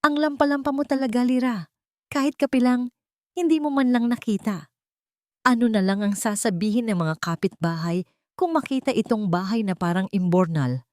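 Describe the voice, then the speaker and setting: A woman's voice: on the high side, moderate pace, a little mellow, no echo, clean studio sound, voice pushed up, lightly acted. Woman voicing a scornful, nagging older matron. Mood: scornful, irritated. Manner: belittling, complaining.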